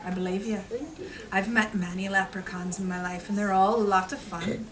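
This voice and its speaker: A woman's voice: medium pitch, little pitch movement, moderate pace, crowd noise. A woman telling stories to young children at an elementary school, explaining in simple, repeated phrases with dramatic emphasis. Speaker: elementary school read-aloud storyteller. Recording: distant mic, noisy room.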